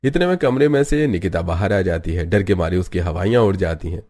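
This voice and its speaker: A man's voice: sits low, animated, brisk, darkish tone, soft background music. A man reading scripted storytelling narration for a cartoon family tale.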